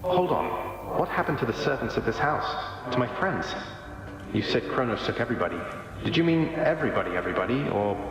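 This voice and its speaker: A man's voice: medium pitch, lively intonation, a little fast, natural voice. Man playing a wry young underworld prince, echoing. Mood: anxious. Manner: wry, casual, dry understatement. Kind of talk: video game character dialogue. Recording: very echoey with long reverb, music behind.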